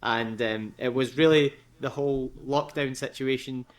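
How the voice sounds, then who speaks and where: A man's voice: medium pitch, fairly even intonation, unhurried. Chatty young Scottish man. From Scotland. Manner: chatty, laughs often, many fillers. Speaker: guest. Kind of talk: casual interview.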